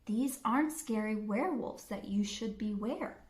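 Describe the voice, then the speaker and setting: A woman's voice: medium pitch, a little slow, a little mellow, reverberant, close to an everyday voice. A woman voicing a plucky, confident fairy-tale girl in a children's storybook. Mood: dismissive. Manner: confident, nonchalant, bold. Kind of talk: children's storybook read-aloud.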